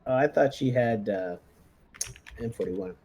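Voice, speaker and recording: A man's voice: medium pitch, measured pace, darkish tone, dull audio, a little hiss or hum. A man chatting casually as a player in a tabletop roleplaying game, organising the squad.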